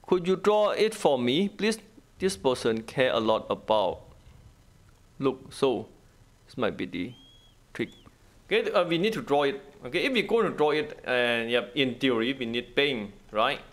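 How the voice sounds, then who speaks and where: A man who is not a native English speaker, giving a casual gameplay walkthrough in halting, repetitive short phrases. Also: medium pitch, sing-song. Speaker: gaming guide host.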